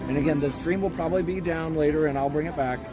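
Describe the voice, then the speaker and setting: A man's voice: fairly high pitch, fast talker. A man hosting a live stream who explains technical details and cracks jokes while walking outdoors at night. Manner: explanatory, joking. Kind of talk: casual live stream chat. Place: outdoors at a theme park at night. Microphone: phone camera. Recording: music playing in the background.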